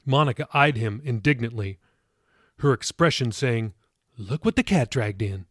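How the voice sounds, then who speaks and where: A man's voice: mid-range voice, expressive, normal speed, fairly bright tone, no room sound, studio quality. A man reading scripted material for his own podcast, as author and narrator.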